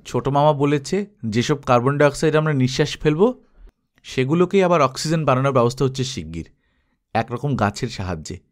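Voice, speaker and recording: Enthusiastic, talkative boy dreamer for a storybook, read by a man, mid pitch. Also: animated, fairly quick, lightly acted. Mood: eager, excited. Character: eager boy dreaming of moon ventures.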